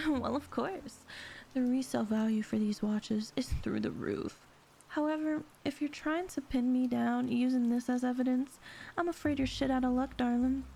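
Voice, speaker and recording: A woman's voice: high-pitched, gravelly, dark tone, slight background noise. A woman playing a sly, sweet-talking con artist in an audio drama, with a sassy, teasing, self-assured delivery. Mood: smug, sarcastic. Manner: sassy, drawling terms of endearment, teasing.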